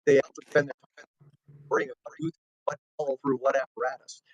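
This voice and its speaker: Wry conversational host, dry close mic. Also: male voice, mid-range voice, little pitch movement, fairly quick, no echo, dull audio. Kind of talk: casual interview chat.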